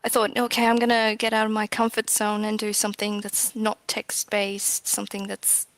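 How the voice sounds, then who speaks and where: A woman talks casually as an interview guest in a mid-range voice with a noticeable creak. She is a bit hesitant and lets phrases trail off. The sound is dry, with little room to it. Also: mid-range voice, monotone, normal speed, dry recording, low-quality recording. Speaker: game developer, interview guest.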